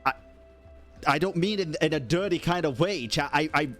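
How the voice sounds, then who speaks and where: A man's voice: fairly high pitch, moderate pace, fairly bright tone, soft background music. A man giving emphatic, sweary live reaction commentary as a game streamer.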